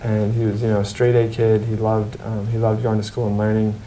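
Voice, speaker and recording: A man's voice: monotone, brisk, mellow. Man speaking evenly in an echoey room. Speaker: charity street team member, interviewee. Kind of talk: interview answers. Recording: roomy echo, faint background noise, rumble.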